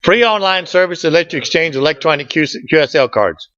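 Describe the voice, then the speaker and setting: A man's voice: a little high, expressive, fairly quick, fairly bright tone, dry recording. A man giving an informal slide presentation to a group, folksy and joking in manner. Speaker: club presenter. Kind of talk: informal slide presentation with audience back-and-forth. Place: meeting room, standing at a lectern indoors. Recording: dull, lo-fi room recording.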